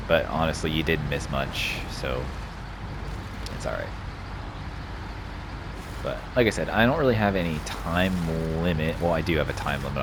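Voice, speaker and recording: Man, extremely low voice, casual gaming host, hesitant rambling delivery, noisy background with vehicle rumble. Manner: rambling, hesitant, repeats himself. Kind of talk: casual off-the-cuff game commentary.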